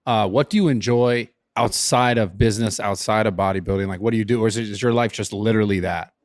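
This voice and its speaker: A man's voice: on the low side, quick pace, dry recording, studio quality. A man co-hosting a podcast, joking around and swearing freely in casual banter. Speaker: podcast co-host.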